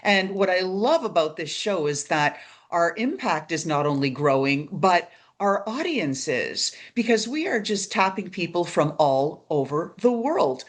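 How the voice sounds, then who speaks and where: A woman's voice: lowish voice, big pitch swings, unhurried, hardly any pauses. A woman hosting a podcast in a conversational, upbeat and encouraging way. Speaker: podcast host.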